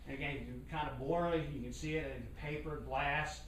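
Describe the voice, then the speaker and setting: A man's voice: a little high, steady tone, a little fast, a bit bright, slight background noise. A man giving a slideshow talk at a community meeting, speaking plainly with lots of "again" and "uh". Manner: plain and conversational, repeats "again" and "uh". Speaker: community presenter. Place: meeting room. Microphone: room mic from across the room. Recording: echoey meeting room from far off.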